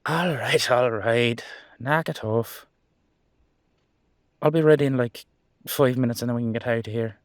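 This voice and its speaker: A man's voice: mid-range voice, unhurried, long pauses, no echo, clean studio sound, close to an everyday voice. A man playing a cheeky, easygoing immortal headless horseman in a roleplay audio drama, talking in a casual, rambling, reassuring way. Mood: playful, casual.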